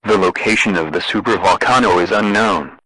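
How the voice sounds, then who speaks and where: A man with a synthetic, deadpan and formal delivery, reading a scripted emergency broadcast announcement. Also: deep voice, a little fast, dry recording, rough recording.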